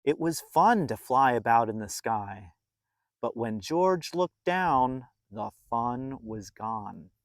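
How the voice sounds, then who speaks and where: Slow, animated children's storyteller. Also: mid-range voice, no echo, studio quality. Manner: animated, dramatic pauses, addressing the young listener. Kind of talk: expressive picture-book read-aloud for young children.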